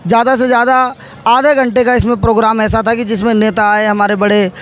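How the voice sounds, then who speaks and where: Male politician, 30s, high voice, halting interview delivery with repeated phrases. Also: level tone, a bit bright. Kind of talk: interview answers.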